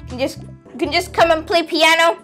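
A woman's voice: extremely high pitch, moderate pace. A young girl hosting a casual, playful game tour, chatting to viewers in an exclamatory way over background music. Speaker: gaming video host.

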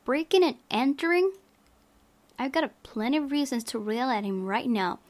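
A woman's voice: high voice, animated, normal speed, darkish tone. A young woman hosting a let's play, reading visual novel narration aloud expressively.

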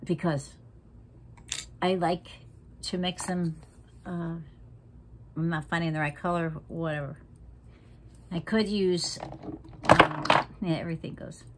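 A woman's voice: lowish voice, fairly even intonation, takes it slowly, long pauses, a bit bright, a little hiss or hum. Chatty, feisty woman in her sixties. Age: 60s. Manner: chatty, frank and feisty, drops to half-whispered asides. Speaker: makeup vlogger. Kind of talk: casual makeup tutorial chat. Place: living room at home, indoors. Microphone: camera close in front.

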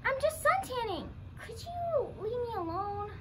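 Put-upon comic puppet acted by a young teen, very high, bright, complaining. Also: sing-song, unhurried, exaggerated voice. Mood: annoyed. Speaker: student puppeteer in a school drama show. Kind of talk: puppet show comedy skit.

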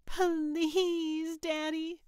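An excitable young boy, voiced by a woman narrator for an audiobook. The voice jumps high above the reader's own, eager and whiny, in a clean, close, dry recording. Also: extremely slow, dry recording, heavily acted. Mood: pleading. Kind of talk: audiobook character voice.

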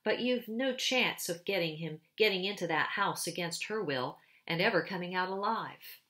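A woman voicing a kindly, motherly female beaver in a storybook, speaking gently. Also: on the low side, animated, moderate pace. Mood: gentle. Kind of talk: storybook read-aloud.

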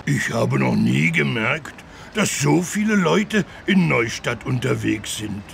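Cartoon elephant, very creaky gravelly voice, slow, naive, wondering, good-natured, children's game. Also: male voice, medium pitch, very animated, fairly bright tone, slight background noise, exaggerated voice. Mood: wondering, friendly. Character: big good-natured talking elephant, childlike and easily excited. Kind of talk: children's learning game voice acting.

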